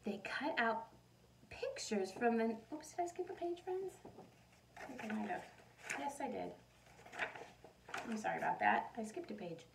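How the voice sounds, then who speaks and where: A woman with a lightly high voice reads a children's picture book aloud. She is animated and speaks directly to young listeners, slipping in questions and asides like a teacher. The recording sounds a bit distant from the microphone. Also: moderate pace, long pauses, background noise.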